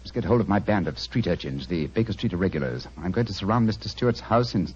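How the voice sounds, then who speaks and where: An actor playing a brisk, masterful gentleman detective with a British accent and clipped, commanding delivery in a 1940s radio drama. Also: low voice, expressive, husky. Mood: urgent, commanding. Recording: dull, muffled vintage radio recording.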